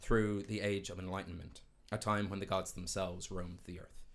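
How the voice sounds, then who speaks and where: Man, game master, deep voice, storytelling narration. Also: flat delivery, moderate pace, creaky. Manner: storytelling, playful asides. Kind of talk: scripted fantasy narration.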